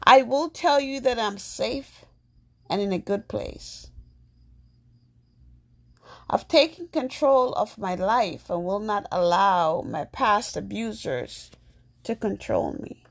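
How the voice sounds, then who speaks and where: A woman from Jamaica hosting her own podcast, talking in a soft, slow and earnest reflective monologue. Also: medium pitch, lively intonation, gravelly. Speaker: podcast host.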